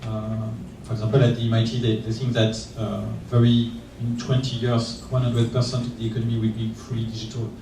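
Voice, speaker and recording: A man's voice: medium pitch, monotone, fairly quick, few pauses, noisy background. A male panelist in a conference discussion, speaking hesitantly and repeating words. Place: conference room. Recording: echoey room, voice from far off.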